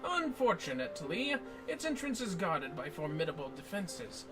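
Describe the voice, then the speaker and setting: A man voicing a learned, formal expedition leader from a fantasy game, with pompous, deliberate, ornate delivery. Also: on the high side, sing-song, over music. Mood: solemn, expository. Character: learned, formal archaeologist leading an expedition, grandly wordy. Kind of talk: dramatic reading of fantasy game dialogue.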